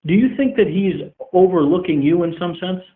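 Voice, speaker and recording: A man's voice: sits high, lively intonation, moderate pace, slight background noise. Male journalist, phone-quality audio; conversational, roundabout questioning in an everyday register. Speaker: reporter asking questions. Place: phone line on a teleconference. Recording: narrow muffled telephone conference line.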